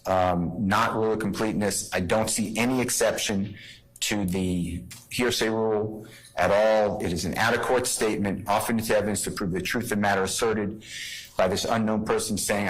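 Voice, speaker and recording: A man with a fairly low voice speaks as a defense attorney in court. He lays out a legal argument step by step, in a halting, explanatory manner. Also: fairly even intonation, low-quality recording.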